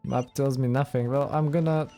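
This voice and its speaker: A man's voice: medium pitch, steady tone, normal speed, warm tone. A man doing casual let's play commentary, wry and musing aloud as he goes. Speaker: gaming let's play host. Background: video game music.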